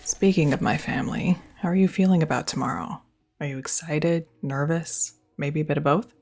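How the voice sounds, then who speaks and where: A woman's voice: low-pitched, moderate pace, darkish tone, faint music behind. A young woman performing a scripted girlfriend roleplay, speaking close to the mic in an affectionate, teasing and reassuring way.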